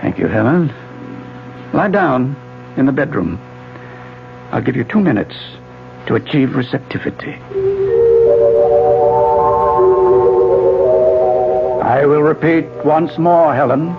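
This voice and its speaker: Creaky-voiced, secretive radio drama husband. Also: male voice, medium pitch, animated, moderate pace, long pauses. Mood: controlled insistence. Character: obsessive secretive husband, a mind-experimenting scientist. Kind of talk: 1970s radio drama acting and narration. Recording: muffled 1970s radio broadcast, music behind.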